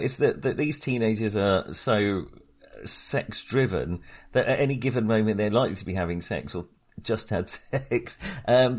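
Wry man with lively, swooping intonation. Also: lowish voice. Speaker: film podcast co-host. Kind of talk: casual film discussion.

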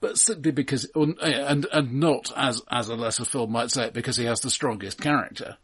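A man co-hosting a film podcast, discussing casually in a measured, analytical way with hesitant phrasing. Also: mid-range voice, slightly rough.

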